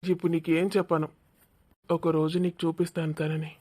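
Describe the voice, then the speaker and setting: A woman's voice: deep voice, flat delivery, a little fast, mellow. A woman voicing a cheeky, evasive young village man in a cartoon comedy, informal and grumbling, with a Telangana accent.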